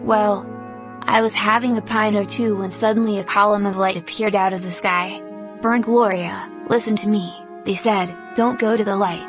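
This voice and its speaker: A woman's voice: medium pitch, fairly even intonation, moderate pace, music in the background, lightly acted. A woman voicing a cocky, taunting adventurer with a love of fire, in a wry, teasing manner for a fantasy game movie.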